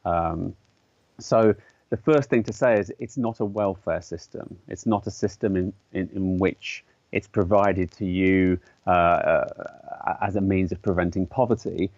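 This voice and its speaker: A man's voice: fairly low pitch, a little mellow, no echo, muffled recording. A man, an artist and educator, speaking as a guest in a conversational interview, thoughtful and hesitant, with many fillers and restarts. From English-speaking country. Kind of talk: conversational interview explaining ideas.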